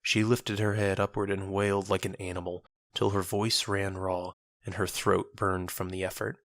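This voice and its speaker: A man's voice: low-pitched, little pitch movement, moderate pace, a bit bright, no room sound, clean studio sound. A man narrating a scripted dramatic audio story.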